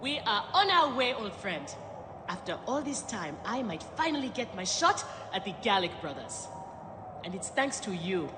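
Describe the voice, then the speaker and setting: A woman's voice: mid-range voice, sing-song, normal speed, long reverb tail, noisy background, natural voice. An actress playing a bold, wry woman gladiator in a dramatic video game, teasing and confident. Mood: eager, grateful. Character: bold, wry woman gladiator, battle-hardened old comrade.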